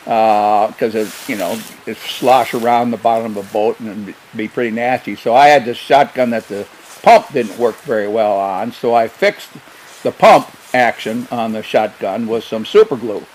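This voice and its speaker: An older man, a former wildlife biologist, telling stories in an unhurried, hesitant way with many fillers. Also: on the low side, a little hiss or hum, rough recording. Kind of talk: casual storytelling.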